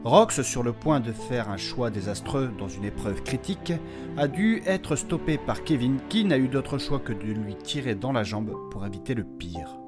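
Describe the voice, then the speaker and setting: Casting: man as courteous, anxious fantasy healer, formal diction, very lively pitch, comic audio drama. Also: normal speed, over music, natural voice. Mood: grave narration. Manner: formal, addresses others politely as monsieur. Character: anxious, courteous, formal male healer guiding his companions.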